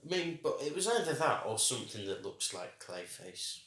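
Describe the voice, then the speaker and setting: A man co-hosting a casual review podcast, enthusiastic and quick to laugh. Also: mid-range voice, sing-song, a bit bright. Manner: enthusiastic, laughs often, acts out reactions. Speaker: podcast co-host. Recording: echoey room.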